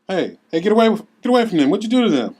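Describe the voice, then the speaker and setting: A man's voice: sits high, sing-song, quick pace, a little mellow, husky, pitched above the performer's own voice, heavily acted. A man acts out a young robber in a drama script read. He is bossy and threatening, barking his commands in choppy bursts. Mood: alarmed, angry. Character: bossy young armed robber shouting orders.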